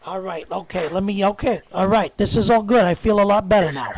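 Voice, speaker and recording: Man putting on a high, creaky, anxious gullible guy. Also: brisk, crowd noise. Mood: relieved, grateful. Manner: hesitant, rambling. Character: gullible, anxious man fearfully cooperating with a scam caller. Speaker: prank caller. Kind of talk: phone prank conversation. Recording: phone call recording with background noise.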